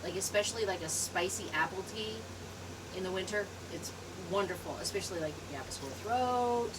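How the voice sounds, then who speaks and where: A woman's voice: mid-range voice, fairly quick. Lady with a teeny little voice chatting on the porch, far from the mic, noisy. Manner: small voice, chatty, giggly. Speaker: guest. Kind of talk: casual chat while drinking. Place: outdoor porch. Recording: distant phone mic, noisy outdoors.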